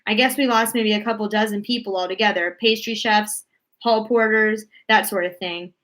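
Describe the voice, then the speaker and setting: A woman voicing an excitable, folksy male astronaut from a storybook, gushing as he reports. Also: mid-range voice, normal speed, close to an everyday voice. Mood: relieved. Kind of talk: storybook read-aloud character voice.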